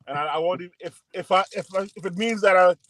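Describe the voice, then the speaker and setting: A man's voice: high-pitched, quick pace, fairly bright tone. A man co-hosting a podcast, rambling and joking through a casual sports debate over a call and talking over others. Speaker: podcast co-host.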